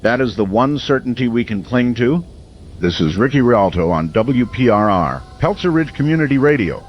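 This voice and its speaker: An actor playing a grave, steadfast male late-night radio host, broadcasting in a solemn, measured, portentous manner in an audio drama. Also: mid-range voice, expressive, highs cut off, a little hiss or hum, close to an everyday voice. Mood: resolute.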